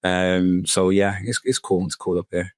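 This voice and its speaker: A man with a Manchester accent, chatting relaxed and easygoing as a podcast guest. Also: low voice, normal speed, dry recording, clean studio sound. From Manchester, England. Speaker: podcast guest, business owner. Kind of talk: casual conversational interview.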